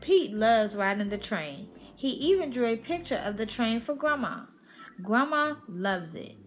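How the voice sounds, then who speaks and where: A woman's voice: a little high, lively intonation, unhurried, soft background music. A woman reading a children's picture book aloud as a storyteller on her channel.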